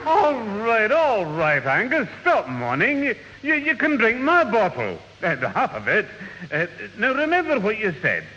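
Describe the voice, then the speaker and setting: A man's voice: high-pitched, huge pitch swings, unhurried, pushed and bright, exaggerated voice. An actor playing a dry-witted, placating older man in a radio sitcom, wry and a little hesitant. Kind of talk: 1970s radio sitcom acting. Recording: muffled old radio, hiss, hall reverb.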